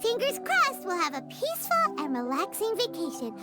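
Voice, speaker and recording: A woman voicing a small, chatty, excitable fairy companion in a video game, with a squeaky childlike voice and a bubbly, exclamatory way of talking. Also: moderate pace, full character voice. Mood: hopeful. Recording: loud game music behind.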